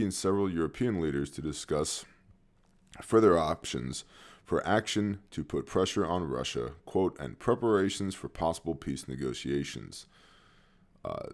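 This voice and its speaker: Very deep-voiced man with dry sarcastic asides. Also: a little mellow. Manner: dry sarcastic asides between read news items. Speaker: news commentary host. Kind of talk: news briefing with sarcastic asides.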